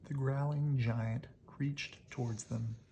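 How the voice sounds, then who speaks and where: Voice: mid-range voice, steady tone, takes it slowly, creaky, dark tone, dull audio, slight background noise. A storybook reader narrating expressively aloud for children, as if at bedtime.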